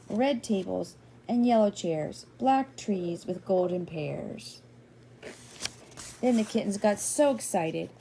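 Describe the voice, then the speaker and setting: An older woman reading a children's picture book expressively, gently and playfully, drawing out key words and slipping in asides and questions to young listeners. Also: mid-range voice, vocal fry, a little hiss or hum, low-quality recording. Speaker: read-aloud storyteller.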